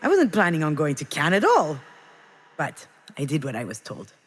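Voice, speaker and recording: Troubled grieving mom in a story game, lowish voice, introspective and doubting herself, music under it. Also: female voice, huge pitch swings, moderate pace, breathy edge, full character voice. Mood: reminiscing. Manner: introspective, self-questioning. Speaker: voice actor in a visual novel game. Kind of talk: dramatic narrative game dialogue and introspective monologue.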